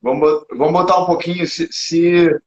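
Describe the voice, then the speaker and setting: A Brazilian man hosting a casual live chat, rambling and self-mocking, laughing often, a little hoarse. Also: a little high, fairly even intonation, moderate pace, darkish tone. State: slightly hoarse. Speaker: podcast host. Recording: dull lo-fi sound.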